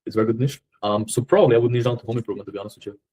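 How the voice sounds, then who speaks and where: A man's voice: mid-range voice, rapid-fire, dark tone, husky. A man with a Slovenian accent in a casual sales conversation, speaking informally and often doubling back on his phrases. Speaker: agency owner on a sales call.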